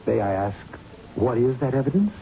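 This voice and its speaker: An actor playing a middle-aged company boss in a radio drama, urbane, patronizing and scheming, with deliberate phrasing. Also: male voice, medium pitch, big pitch swings, creaky, warm tone. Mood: evasive, dismissive. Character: smooth, condescending, scheming male company boss. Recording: muffled, faintly noisy old radio recording.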